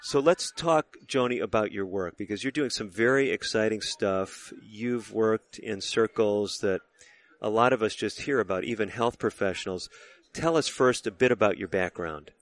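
A man hosts a radio interview show, his voice sitting a little low. He talks in a measured, conversational way, setting up questions and filling in background for his listeners.

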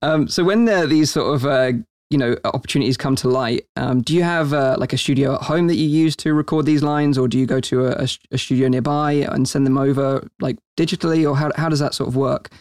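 A male podcast host in casual interview chat, enthusiastic and quick to laugh. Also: mid-range voice, fairly even intonation, a little mellow, no echo, studio quality.

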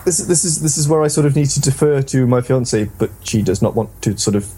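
A man with a soft, mellow voice chats casually as a podcast co-host. He rambles and hesitates, often poking fun at himself. His pitch sits in an ordinary middle range. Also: quick pace, slightly rough. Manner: hesitant, rambling, self-deprecating. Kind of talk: casual podcast discussion of games.

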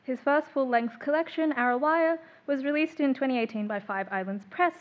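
A woman's voice: sits high, fairly quick, lo-fi sound. A woman chairing a literary panel, introducing writers with frequent ums and small laughs.

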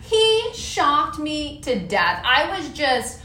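A woman's voice: sits high, takes it slowly. A woman in her thirties co-hosting a casual podcast chat, telling stories and acting out both sides of conversations. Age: 30s. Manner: animated storytelling, acts out dialogue. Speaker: podcast co-host. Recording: roomy room with faint noise.

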